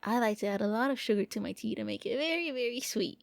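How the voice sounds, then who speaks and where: A woman voicing a gentle, lonely young vampire prince in an anime-style ASMR roleplay, speaking softly and coaxingly with a playful, hesitant manner. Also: on the high side, brisk, vocal fry. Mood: pleased, inviting.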